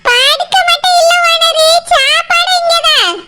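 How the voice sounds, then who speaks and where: A woman voicing a pushy fish-seller mother in a comic cartoon, cheeky and pleading in Kanyakumari Tamil, with a pitched-up voice. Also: a little slow. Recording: loud background noise, clicks.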